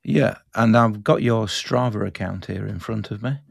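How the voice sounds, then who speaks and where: A man hosting a podcast and interviewing a guest in a relaxed, plain-spoken way. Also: lowish voice, moderate pace, vocal fry, breathy edge. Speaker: podcast host.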